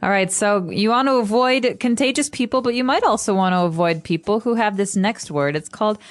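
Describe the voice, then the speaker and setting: A woman's voice: mid-range voice, fairly quick. A woman co-hosting an English-learning podcast, patiently explaining vocabulary in casual chat with examples. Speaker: podcast co-host, English teacher.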